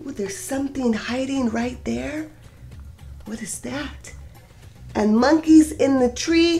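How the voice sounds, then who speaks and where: A woman reading a picture book aloud as a storytime host, expressive and sing-song as she talks directly to young children. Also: high-pitched, takes it slowly, vocal fry, soft background music.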